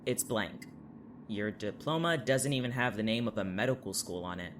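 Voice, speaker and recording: A man's voice: fairly low pitch, animated, normal speed, fairly bright tone, a little hiss or hum. An actor playing a wary, irritated man, blunt and skeptical, in an audio drama. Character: wary, irritated man held by a strange therapist.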